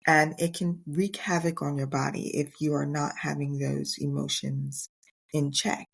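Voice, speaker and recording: Middle aged woman doing a solo motivational podcast, really low voice w lots of fry, earnest and emphatic. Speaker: podcast host.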